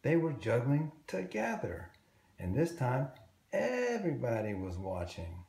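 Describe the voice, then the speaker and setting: A man reading a children's story aloud, expressively and deliberately, drawing out words for young listeners. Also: mid-range voice, huge pitch swings, creaky, a little mellow, roomy sound.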